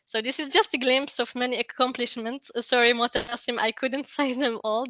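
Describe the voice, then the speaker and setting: A woman's voice: on the high side, steady tone, moderate pace, pushed and bright, no room sound. A woman hosting a webinar and introducing a speaker. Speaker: webinar host.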